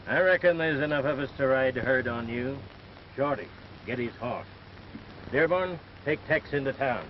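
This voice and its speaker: Folksy, stern American sheriff, a man acting, medium pitch, 1930s western, faint noise on a dull track. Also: lively intonation, normal speed, close to an everyday voice. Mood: stern, steady. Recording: dull, hissy 1930s film soundtrack.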